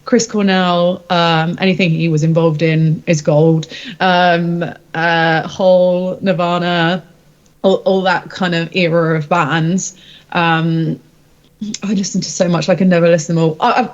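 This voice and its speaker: A woman's voice: on the low side, little pitch movement, unhurried, dull audio. A woman singer-songwriter chatting casually in an interview, candid and hesitant as she thinks aloud.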